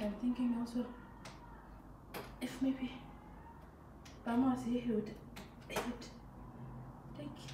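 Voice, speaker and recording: A woman's voice: on the high side, noisy background. A Namibian woman vlogging at home, chatting to her viewers in a rambling way while she assembles a rack and tidies up. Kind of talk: casual home vlog chat. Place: tiled bathroom at home. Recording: distant, very echoey home room.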